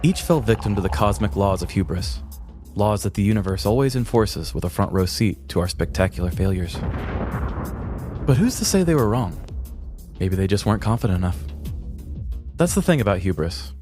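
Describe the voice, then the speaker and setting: A man co-hosting a podcast, telling a scripted comedic story in a deliberate, mock-grandiose way. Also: lowish voice, animated, music in the background. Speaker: podcast co-host.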